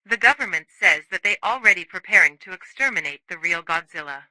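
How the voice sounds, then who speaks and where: News reporter played by a man for horror bulletin: high male voice, forceful and sharp, dry radio-like sound. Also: expressive, fairly quick, no room sound, exaggerated voice, poor audio. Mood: grave, reporting. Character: news reporter announcing a monster disaster. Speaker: news narrator. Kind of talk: scripted news narration.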